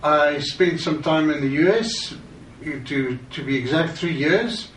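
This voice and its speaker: A man's voice: fairly high pitch, slow pace, fairly bright tone. A middle-aged man from Africa, co-presenting a course in a conversational way. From South Africa. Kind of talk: course introduction. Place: studio with green-screen backdrop. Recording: roomy, distant, faint hiss.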